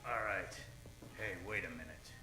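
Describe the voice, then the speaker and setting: A man's voice: deep voice, moderate pace, lightly acted. A man playing a tough, suspicious private detective in an old-time radio drama, talking in slangy, bullying questions. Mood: threatening. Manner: hardboiled, slangy interrogation. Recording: roomy sound with music behind.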